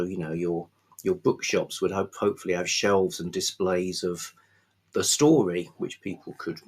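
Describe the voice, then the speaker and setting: Man, low pitch, husky tone, book vlogger, casual unscripted talk, halting and rambling phrasing. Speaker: host of a book review vlog.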